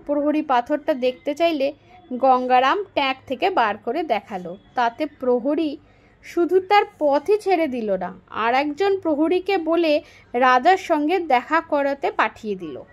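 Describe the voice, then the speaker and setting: A woman narrating a story aloud in an expressive, dramatic and deliberate storytelling style. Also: sits high.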